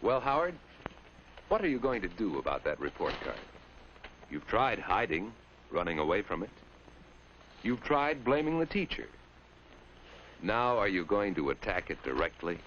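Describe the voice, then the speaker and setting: A muffled vintage soundtrack carries a knowing film narrator with a lowish voice. His delivery is didactic and pointed, with lively swings of pitch, in scripted 1950s style. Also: moderate pace, pauses often, slight background noise. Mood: probing. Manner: pointed, didactic, addresses the character directly. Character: knowing, probing male educational film narrator.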